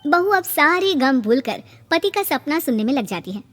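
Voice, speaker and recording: High-voiced woman narrating a story. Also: very animated, normal speed, a bit bright, in a large hall. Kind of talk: scripted animated story narration.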